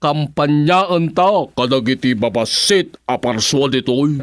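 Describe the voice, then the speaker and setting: A Filipino man playing a sly, jolly talking gorilla in a radio comedy drama, with drawn-out, hooting delivery. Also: mid-range voice, no echo, clean studio sound, close to an everyday voice. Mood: jolly, rallying.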